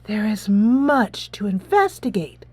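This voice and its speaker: A woman's voice: medium pitch, sing-song, takes it slowly, slightly rough, dry recording, heavily acted. A woman voicing an eager, theatrical teenage schoolgirl sleuth in a game, grandly formal and declamatory. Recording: soft music behind the voice.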